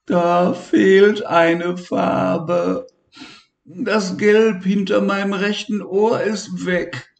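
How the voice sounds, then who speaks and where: A man's voice: high voice, extremely slow, gravelly, darkish tone, voice pushed up, full character voice. A weepy, fretful little patchwork elephant, put on by a man reading a storybook, his voice pushed far up above the reader's own. He whimpers and stammers, and the words ring a little in an echoey room. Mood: distraught.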